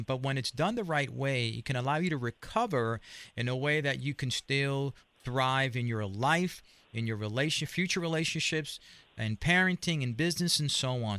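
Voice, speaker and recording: A man's voice: mid-range voice, a bit bright, no room sound. A man hosting an interview show, asking long, hesitant questions full of restarts. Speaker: show host.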